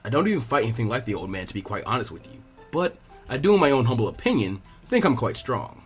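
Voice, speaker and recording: A man's voice: mid-range voice, very animated, fast, breathy edge, faint music behind, voice pushed down. A man voicing a laid-back, wryly amused bearded ninja instructor in a read-aloud story. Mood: dry, modest.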